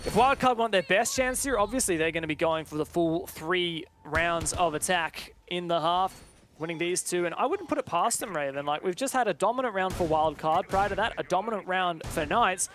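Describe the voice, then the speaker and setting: A man doing live esports play-by-play commentary, getting excitable on big plays. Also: high-pitched, moderate pace, a little hiss or hum.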